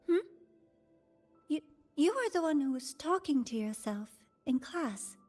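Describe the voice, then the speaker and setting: A woman playing an excitable young female researcher in a video game, shy and nerdy, given to eager rambling and flustered apologies. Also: sits high, unhurried, faint music behind. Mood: surprised, friendly. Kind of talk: anime-style video game acting.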